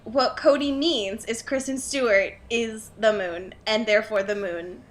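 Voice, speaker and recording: A woman's voice: on the high side, unhurried. A young woman co-hosting a casual comedic podcast, swearing freely and exaggerating in slangy talk. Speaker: podcast co-host. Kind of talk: casual comedic book discussion.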